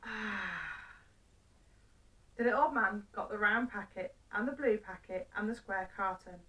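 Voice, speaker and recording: A woman storyteller reading a children's story aloud in simple phrases with deliberate pauses. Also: mid-range voice, bright, forceful tone, far from the mic, poor audio.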